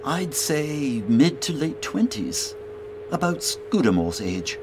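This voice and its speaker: An actor playing an observant, commanding and concerned gentleman in an audio drama, speaking precisely with authority. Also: a little high, big pitch swings, takes it slowly, noisy background. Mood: observing, intrigued.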